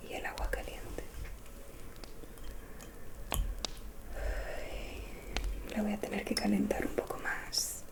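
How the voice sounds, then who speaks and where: A woman from playing a gentle, mysterious fortune-telling witch in an ASMR roleplay, speaking in a soft, soothing whisper. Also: natural voice. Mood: gentle, attentive. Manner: slow, soothing, confiding.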